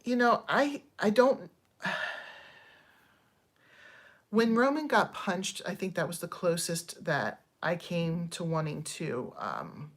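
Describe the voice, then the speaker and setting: Chatty woman in her fifties, lowish voice. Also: lively intonation, slow, pauses often. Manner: chatty and digressive, thanking viewers mid-story; candid, frustrated complaints with frequent ums. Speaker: channel host. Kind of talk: casual livestream chat.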